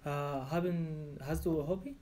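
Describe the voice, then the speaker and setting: A man in his twenties from Syria with an Arabic accent, speaking haltingly with many fillers and restarts as a candidate in a practice language exam, in an office room. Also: on the high side, steady tone, a little mellow. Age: 20s. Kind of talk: simulated oral language exam.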